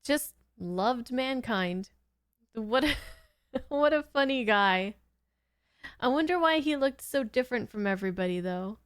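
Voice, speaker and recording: A woman's voice: on the high side, animated, a little slow, pauses often, clear, resonant tone, no echo, clean studio sound. A woman giving casual reaction commentary in an informal, giggly, rambling way. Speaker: host of a reaction channel.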